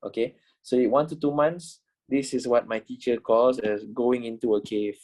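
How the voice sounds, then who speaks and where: A man's voice: mid-range voice, steady tone, normal speed, a little mellow, poor audio. A man in his twenties teaching a casual trading class, swearing, repeating himself and asking "you know?" a lot. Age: 20s. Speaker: trading instructor. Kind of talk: casual online class wrap-up.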